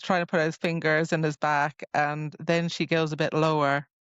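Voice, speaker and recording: A woman's voice: low-pitched, little pitch movement, normal speed, clear, resonant tone, dry recording, highs cut off. A woman co-hosting a podcast, chatting casually about a fantasy romance novel with giggly, playful reactions and mock quotes. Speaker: podcast co-host.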